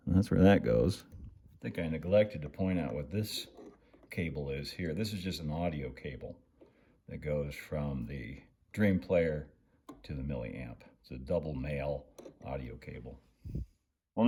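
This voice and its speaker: Low-pitched middle-aged man, hobby host. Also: a little mellow. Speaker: host of a model railway channel. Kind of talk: casual hands-on workshop tutorial. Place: indoor hobby workshop.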